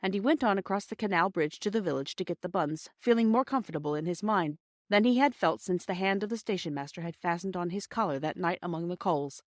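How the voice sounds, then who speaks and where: A woman's voice: fairly low pitch, fast, no echo, clean studio sound. A woman reading a storybook aloud as scripted narration. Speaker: audiobook reader.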